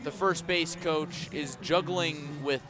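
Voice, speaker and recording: A man calling baseball play-by-play live on the radio, measured in delivery and punchy on the action. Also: on the high side, fairly even intonation, over music. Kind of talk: live radio sports commentary. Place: outdoor ballpark.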